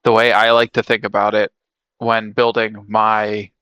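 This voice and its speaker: Male co-host, bright crisp-edged tone, casual explainer delivery, very dry sound. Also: on the low side, monotone, unhurried, dry recording, highs cut off. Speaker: podcast co-host. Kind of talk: casual explanatory podcast chat.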